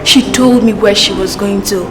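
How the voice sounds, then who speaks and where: A woman's voice: mid-range voice, normal speed, roomy sound, background noise, lightly acted. An actress playing a worried woman who earnestly explains she is looking for a friend.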